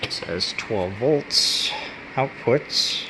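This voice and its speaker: A man giving a casual, unscripted hands-on gadget demonstration, halting and pausing as he handles things. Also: mid-range voice. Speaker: product reviewer. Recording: steady background hum and noise.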